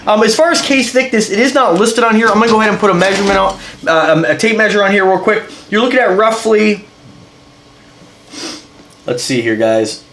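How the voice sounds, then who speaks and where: A man's voice: high voice, animated, a little fast, fairly bright tone, echoey room, a little hiss or hum. A man with a congested voice from a cold, rambling enthusiastically through a casual product review as he handles the item. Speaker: watch reviewer.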